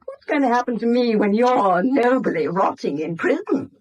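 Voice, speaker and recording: High-voiced, embittered British wife. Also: female voice, lively intonation, normal speed, darkish tone, lo-fi sound. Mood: bitter reproach. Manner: emotional, cutting, reproachful. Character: anxious, embittered wife, pleading and sharp-tongued. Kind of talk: 1970s British radio drama acting. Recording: vintage 1970s radio drama recording.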